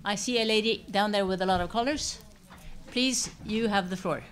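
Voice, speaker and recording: A woman's voice: medium pitch, normal speed. A woman moderating audience questions at a public talk, speaking in an informal, direct way. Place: public seminar room with audience. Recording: live hall microphone, clear background noise.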